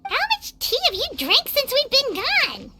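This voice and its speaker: Squeaky, very high-pitched childlike fairy. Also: female voice, huge pitch swings, unhurried, sharp tone, faint music behind, exaggerated voice. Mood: chirpy, prompting. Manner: chirpy, exclaiming, pushy encouragement. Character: tiny cheerful floating fairy companion, childlike and chatty. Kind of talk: video game voice acting.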